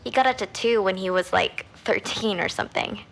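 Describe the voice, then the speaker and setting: A creaky-voiced teenage girl. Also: mid-range voice, a little fast, a bit bright, slight background noise. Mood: candid, casual. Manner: casual, candid, youthful. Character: chatty teenage high school girl giving testimony. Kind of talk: dramatised recorded testimony, casual storytelling.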